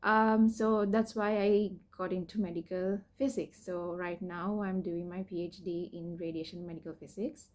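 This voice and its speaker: A young woman from Sri Lanka, a PhD student, explaining her cancer treatment and story in a candid personal vlog, hesitant, with many fillers and soft laughs. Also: on the low side, fairly even intonation, normal speed, hardly any pauses. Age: young adult.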